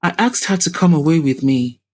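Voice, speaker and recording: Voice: medium pitch, expressive, brisk, a little mellow, natural voice. A woman voicing a sinister, wealthy older man with a haughty, formal and evasive manner in an audio drama. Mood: wistful.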